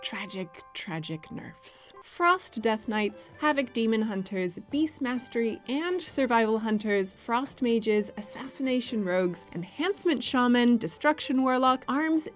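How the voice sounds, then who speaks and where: A woman co-hosting a scripted gaming news show with sarcastic, deadpan humor. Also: a little high. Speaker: co-host.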